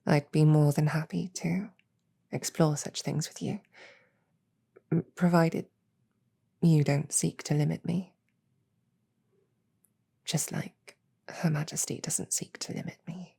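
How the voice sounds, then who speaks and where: A woman voicing an affectionate, teasing female succubus demon in a soft, intimate roleplay audio drama. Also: steady tone, full character voice. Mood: coy, flirtatious. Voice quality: breathy, soft, low. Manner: teasing, intimate, unhurried, halting. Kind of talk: roleplay audio drama, whispered sleep-aid ASMR.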